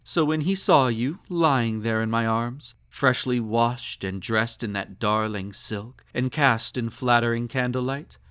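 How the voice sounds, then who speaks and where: A man's voice: mid-range voice, measured pace, few pauses, a bit bright, clean tone, lightly acted. A man playing a snobbish, possessive emperor in an intimate roleplay audio drama, with pompous, condescending, teasing speech and ornate formal phrasing. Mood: amused condescension. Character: snobbish, possessive male emperor, indulgent and slightly tipsy.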